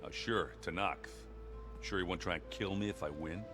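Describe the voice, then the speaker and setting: An actor playing a good-natured, ale-loving rogue in a video game, bluff and wry, with a hesitant, rambling way of talking. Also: male voice, low voice, moderate pace, over music, lightly acted. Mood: easygoing.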